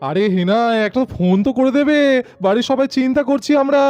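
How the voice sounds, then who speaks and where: A woman voicing a concerned, mild-mannered family man in a doll story, gently chiding. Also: high-pitched, fairly quick, dry recording, voice pushed down, close to an everyday voice.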